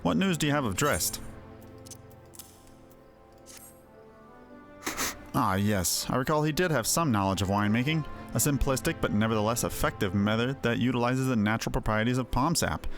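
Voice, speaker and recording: A man voicing an earnest, thoughtful winemaker in fantasy game dialogue, with an expressive, emphatic delivery. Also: mid-range voice, quick pace, few pauses, lightly acted. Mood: reflective, concerned. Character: earnest male winemaker, scarred, thoughtful, excitable about vines. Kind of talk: game quest dialogue read aloud. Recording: music under the voice.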